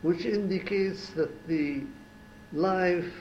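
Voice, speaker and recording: A man in his seventies from Syria, a former ambassador giving an oral history interview at home, talking slowly and reflectively with pauses and restarts. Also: sits high, darkish tone, roomy sound, dull audio, slight background noise. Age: 70s.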